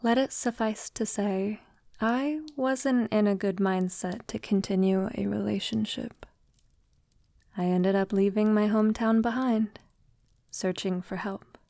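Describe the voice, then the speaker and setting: A woman playing a centuries-old vampire lover in an intimate audio roleplay, tender, wistful and confiding, softly spoken with lapses into whispering. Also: mid-range voice, steady tone, dry recording, studio quality, lightly acted. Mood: somber, confessional. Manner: soft, unhurried, breathy lapses into whispering.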